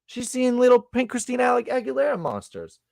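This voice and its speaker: A man co-hosting a podcast, breaking down fight betting lines in an animated, slangy way. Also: very high voice, fast, creaky, no room sound, clean studio sound. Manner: animated, slangy, calls people dog. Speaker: podcast co-host, betting analyst. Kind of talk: casual sports betting chat.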